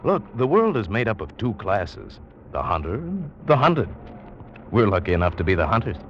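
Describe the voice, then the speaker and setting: Deep-voiced, confident 1940s radio hunter. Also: male voice, big pitch swings, normal speed, a bit bright, slight background noise. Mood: dismissive. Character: confident big game hunter, hearty and dismissive. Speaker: narrator and lead actor. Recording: dull old 1940s radio recording, music.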